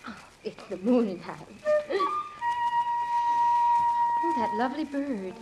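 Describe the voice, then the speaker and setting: A woman's voice: fairly high pitch, lively intonation, normal speed, natural voice, low-quality recording. An actress in a 1950s radio operetta playing a young woman who poses as a worldly foreign singer, speaking and singing. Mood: wistful, dreamy. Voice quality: trained operatic soprano singing voice. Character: Sally, young dishwasher posing as exotic, worldly foreign singer. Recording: 1950 radio broadcast, orchestral music behind.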